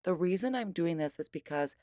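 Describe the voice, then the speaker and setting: Hoarse woman with a very low voice. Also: brisk, no echo. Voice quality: strained, rough voice. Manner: careful, steady step-by-step explaining. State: hoarse, lost voice. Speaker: craft tutorial host. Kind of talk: voice-over craft demonstration.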